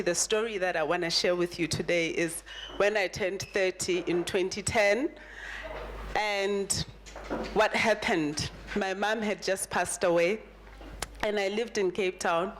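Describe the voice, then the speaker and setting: A woman's voice: mid-range voice, slight background noise, low-quality recording. A woman in her thirties giving a motivational keynote talk, telling her own story and repeating phrases for emphasis. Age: 30s. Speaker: keynote speaker at a summit.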